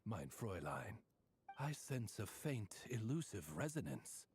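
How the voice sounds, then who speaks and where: A man's voice: fairly low pitch, darkish tone, slight background noise. A man voicing a dignified, loyal raven servant in a video game, speaking in a formal, courteous, butler-like manner. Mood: solemn.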